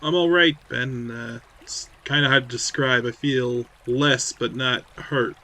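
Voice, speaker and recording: A man's voice: mid-range voice, fairly even intonation, unhurried, pushed and bright. A man casually playing a tabletop horror game, moving between table talk and speaking as his character.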